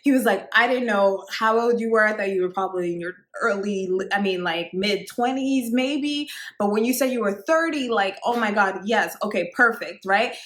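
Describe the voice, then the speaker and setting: A woman's voice: medium pitch, moderate pace, few pauses, fairly bright tone, reverberant. A woman in her thirties giving dating advice to women straight to camera, chatty and emphatic, with many fillers. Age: 30s. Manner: chatty, emphatic, repeats "like" and "actually". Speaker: dating coach. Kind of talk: direct-to-camera advice talk.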